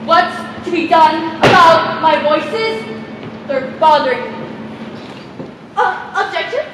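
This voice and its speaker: A woman's voice: very high voice, lively intonation, slow, full character voice. A woman on stage playing a troubled, pleading young woman at a meeting, hesitant and plaintive in a theatrical way. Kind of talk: stage play acting. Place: theater stage, distant microphone. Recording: echoey noisy theater, far off, audience sounds.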